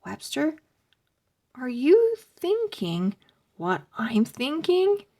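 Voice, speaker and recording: A woman's voice: sits high, huge pitch swings, drawn-out words, dark tone, voice pushed up, exaggerated voice. A woman voicing a mischievous, jumpy young boy who talks eagerly. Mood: excited, nervous.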